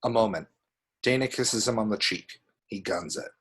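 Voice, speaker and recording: Husky, low-voiced man reading screenplay directions. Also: moderate pace. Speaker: narrator of action descriptions. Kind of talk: scripted narration, screenplay table read.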